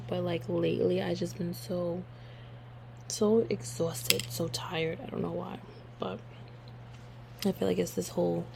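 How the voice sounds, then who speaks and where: A young woman from New hosts a casual solo podcast with a hoarse, worn voice, rambling through her week with plenty of ums. Her pitch sits low and stays fairly flat, and a faint hum runs underneath. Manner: rambling, filler-heavy, relaxed. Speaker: podcast host.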